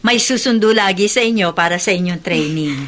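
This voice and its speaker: Earnest lower-pitched Filipina doctor played by actress. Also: female voice, brisk, fairly bright tone, close to an everyday voice. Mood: encouraging. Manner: earnest, reassuring. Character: dedicated, compassionate woman doctor and mother. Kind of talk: Filipino radio drama acting.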